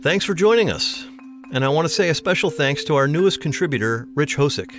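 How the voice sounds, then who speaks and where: A man's voice: a little high, lively intonation, brisk, a bit bright. A man hosting a podcast, speaking in a scripted outro. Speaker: podcast host. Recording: music under the voice.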